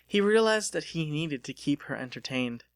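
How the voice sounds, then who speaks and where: A man's voice: a little high, lively intonation, normal speed, smooth, no echo. A man reading an audiobook as a dramatic scripted narration.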